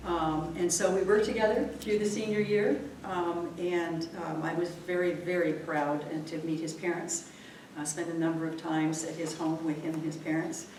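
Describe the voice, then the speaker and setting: A woman advisor giving an informal public introduction, speaking haltingly and repeating phrases. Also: sits low. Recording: echoey room, distant mic, clear noise.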